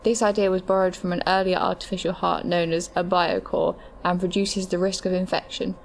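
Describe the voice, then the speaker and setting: A woman's voice: fairly low pitch, little pitch movement, a little fast, slight background noise, natural voice. A woman playing a matter-of-fact medical adviser, reading scripted explanations in an audio drama. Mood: informative.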